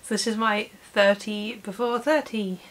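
A woman's voice: medium pitch, fairly even intonation, measured pace, fairly bright tone. A woman in her late twenties with a British accent, chatting casually and informally to camera as a book vlogger in a room at home. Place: small home room with bookshelves. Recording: clear background hiss.

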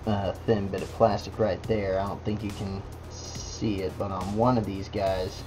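Unhurried male kit builder at a desk, chatty and relaxed, music underneath. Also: lowish voice. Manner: relaxed, talks to the parts as he works. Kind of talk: casual build tutorial. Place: indoor workbench. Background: background music.

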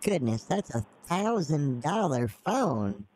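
A man's voice: on the high side, very animated, slow, a little mellow, slight background noise. A middle-aged man on a live-streamed scam call, folksy and feigning ignorance as a naive mark. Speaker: scambaiter playing a naive mark.

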